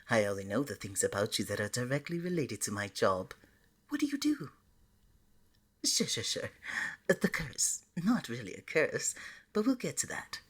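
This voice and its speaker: A flirty, easily flustered god of love voiced by a woman for an ASMR romance roleplay. The voice sits low and swings freely up and down, with a husky breathiness that slips toward a near-whisper. The manner is playful, coaxing and openly affectionate. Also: heavily acted. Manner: coaxing, playful, pet names like sweetie and honey.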